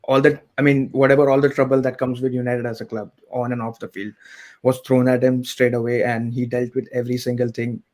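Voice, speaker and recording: A man calling in to a talk show, talking in a measured way and thinking aloud. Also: medium pitch, flat delivery, a little mellow. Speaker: caller. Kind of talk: casual call-in chat.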